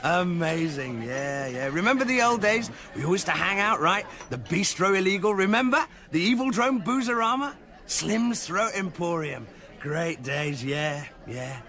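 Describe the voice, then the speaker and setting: A man playing a brash, excitable party-loving rogue in a sci-fi radio comedy, rambling in a hip, exuberant way. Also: sits high, measured pace, heavily acted. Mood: exuberant, matey. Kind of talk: radio comedy drama acting.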